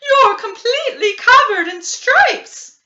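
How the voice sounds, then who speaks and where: A woman voicing an excitable, bossy mother in a children's storybook, with shrill, theatrical delivery. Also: takes it slowly, reverberant, muffled recording, heavily acted. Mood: horrified.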